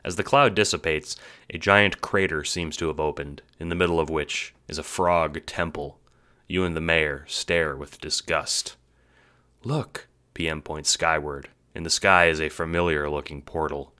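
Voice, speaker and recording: A man's voice: extremely low pitch, fairly even intonation, moderate pace, pushed and bright, clean tone. A man narrating a scripted audio drama story as its narrator and voice actor.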